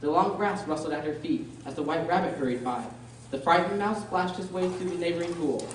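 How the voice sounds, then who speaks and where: A woman playing the narrator of a children's fantasy radio play, reading descriptively in a measured storybook manner. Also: fairly low pitch, natural voice. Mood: measured storytelling. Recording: very echoey room, distant, faint noise.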